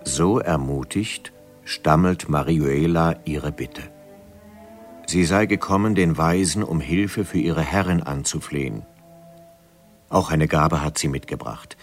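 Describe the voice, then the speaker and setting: A man narrating a radio drama as a storyteller, measured and suspenseful. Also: extremely low pitch, faint music behind, exaggerated voice.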